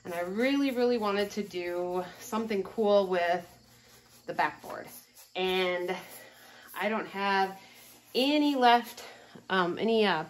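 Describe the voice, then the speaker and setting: A woman's voice: on the low side, slight background noise. Chatty woman vlogging from a garage. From American Midwest. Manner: chatty, lots of ums, talks while working. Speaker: furniture flipping vlogger. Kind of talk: casual walk-through vlog. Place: cluttered garage workshop. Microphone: phone camera, often held at arm's length.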